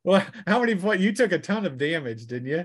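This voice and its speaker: A man's voice: high voice, big pitch swings, brisk, slightly rough. A man refereeing a tabletop role-playing game, talking off the cuff as he narrates the action and works through the rules. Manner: conversational, narrates action between rules talk and arithmetic. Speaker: game master.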